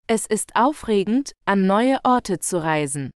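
A woman slowly reading German example sentences for learners, carefully enunciated and repeated. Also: medium pitch, expressive, clean tone, no room sound, studio quality. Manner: carefully enunciated, each phrase read twice. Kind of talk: slow, clear model sentences for learners.

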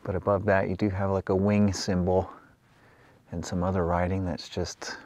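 A man's voice: deep voice, normal speed, dry recording. A man casually describing an old airport site as he walks around it outdoors. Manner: relaxed, pointing things out as he walks. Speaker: travel vlogger. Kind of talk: casual exploring commentary.

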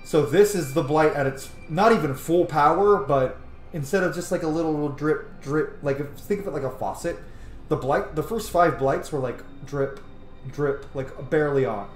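A man's voice: on the high side, moderate pace, echoey room. A man in his thirties giving casual commentary on a game he is playing, recorded indoors at home. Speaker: gamer narrating a playthrough. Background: game music faintly behind.

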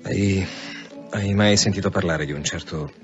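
An actor playing a world-weary, laconic police commissioner in a radio drama. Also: male voice, sits low, measured pace. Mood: stern, probing. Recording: dull band-limited radio drama with music.

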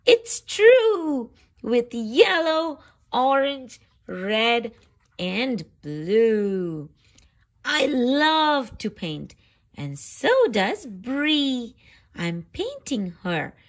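A woman reading a picture book aloud for young children, with deliberate phrasing and expressive emphasis. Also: on the high side.

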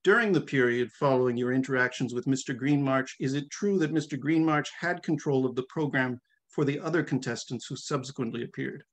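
A middle-aged man reading a dialogue from a novel aloud in a formal, measured manner. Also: medium pitch. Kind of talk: dramatic reading of a question-and-answer hearing. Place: small room at home, video call.

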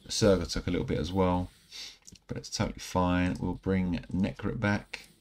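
A man in his thirties giving casual, rambling commentary over mobile game footage, a little croaky from a night out. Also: low-pitched, normal speed. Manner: relaxed, rambling, self-correcting. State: slightly croaky from singing. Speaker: gaming channel host. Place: indoor room at home.

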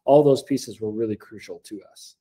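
An American man in his forties giving earnest, measured testimonial answers as a school coordinator, on a video call from an office meeting room. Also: on the low side, warm tone. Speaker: school district program coordinator. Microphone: laptop video call.

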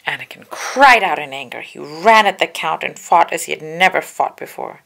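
A woman's voice: low voice, lively intonation, normal speed, vocal fry, a bit bright. A woman reading a children's storybook aloud with careful, dramatic narration. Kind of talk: children's book read-aloud, scripted narration.

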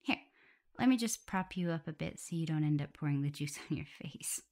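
A woman playing a caring, flustered young omega werewolf in an intimate ASMR roleplay, soft and often breathy and whispery, fussing as she talks. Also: sits low, animated, brisk. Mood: tender, caring. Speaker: audio roleplay performer.

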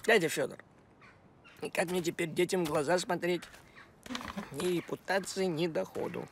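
A man's voice: sits high, fairly even intonation, moderate pace, noisy background. A man voicing a thrifty, business-minded talking cat in a cartoon, bossy and emphatic, given to grumbling. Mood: dejected grumbling. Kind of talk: animated cartoon voice acting. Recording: music behind the voice.

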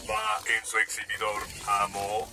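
Cartoon robot butler played by an actor, flat monotone, obedient, noisy rumble behind. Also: a little slow, close to an everyday voice. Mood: servile. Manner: monotone, servile. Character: obedient male robot servant, slow and flat.

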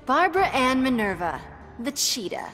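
A confident young superheroine from a video game. Her high voice is very lively and full of flippant defiance, with music underneath. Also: moderate pace. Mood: defiant, sassy. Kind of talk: video game character acting.

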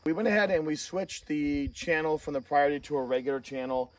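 A man hosting a casual hands-on gear review, talking in a halting way with self-corrections. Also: a little high, no room sound. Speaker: host of a gear review channel. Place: outdoor shooting range. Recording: distant mic, outdoor noise, insect chirps.

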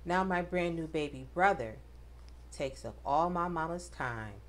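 A woman reading a rhyming picture book aloud to children, with expressive, dramatic emphasis. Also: sits low, measured pace. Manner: addresses children directly, dramatic emphasis on rhymes.

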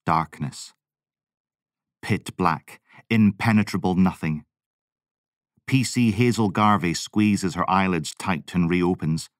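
A man's voice: low voice, slow, pauses often, no room sound, clean studio sound. A man giving a dramatic scripted narration as an audiobook narrator. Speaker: voice-over artist and audiobook narrator.

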